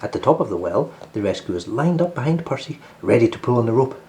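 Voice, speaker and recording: A man narrating a children's storybook as host, in an expressive read-aloud style. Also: mid-range voice, fast.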